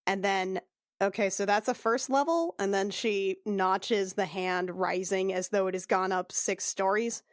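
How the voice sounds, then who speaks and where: A woman's voice: mid-range voice, steady tone, unhurried, dry recording, clean studio sound. A woman playing a tabletop game, describing her character in a casual, informal, sweary way. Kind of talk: casual improvised roleplay narration.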